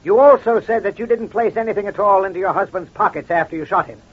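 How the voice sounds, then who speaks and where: An actor playing a shrewd, self-assured crime doctor in an old radio detective drama, speaking in a deliberate, accusatory way. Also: male voice, sits high, breathy edge. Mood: methodical, confident. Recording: dull, muffled old radio recording.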